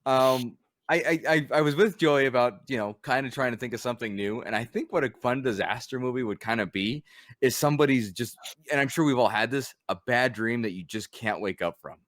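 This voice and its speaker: A man's voice: mid-range voice, brisk, a bit bright, no room sound, studio quality. A man co-hosting a podcast, rambling loosely and laughing as he talks. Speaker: podcast co-host. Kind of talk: casual chat.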